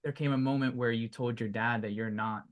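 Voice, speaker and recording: A man hosting a podcast interview, asking measured questions with frequent hedging fillers. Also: medium pitch, fairly even intonation, highs cut off. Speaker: podcast host.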